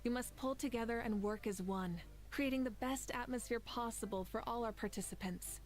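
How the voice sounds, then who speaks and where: A woman voicing a calm, confident leader who speaks in an assured, reassuring way in a game cutscene. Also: fairly high pitch, moderate pace, faint music behind, natural voice. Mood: commanding.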